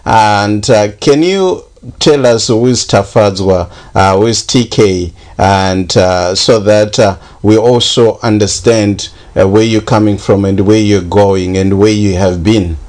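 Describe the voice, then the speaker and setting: A man's voice: lowish voice, fairly even intonation, unhurried, a little mellow. A man hosting a radio interview, speaking with many hesitant fillers. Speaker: radio host.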